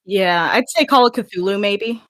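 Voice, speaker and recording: A woman's voice: mid-range voice, measured pace. A woman talking casually and chattily as a guest on a podcast. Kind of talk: casual chat.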